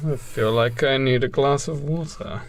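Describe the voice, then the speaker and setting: A man's voice: medium pitch, normal speed, gravelly, slight background noise. A man co-hosting a comedy podcast in casual banter. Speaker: podcast co-host.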